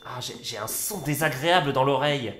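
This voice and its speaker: A man's voice: mid-range voice, a little fast, a bit bright, slight background noise, close to an everyday voice. A man playing a practical but jumpy young urban explorer in an audio drama, speaking colloquially with some hesitation. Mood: casual, uneasy. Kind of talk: naturalistic audio drama dialogue.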